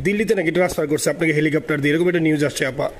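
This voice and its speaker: A man's voice: fairly high pitch, very fast. A man with a Bangladeshi accent in an informal phone conversation, deferential, eager and repetitive in the way he talks. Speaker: party supporter calling in. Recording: phone call with faint background noise.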